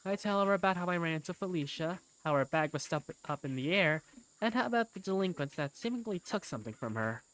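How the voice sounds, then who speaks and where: Woman narrating a story, animated pitch. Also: fast talker, low-quality recording. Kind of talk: scripted first-person story narration.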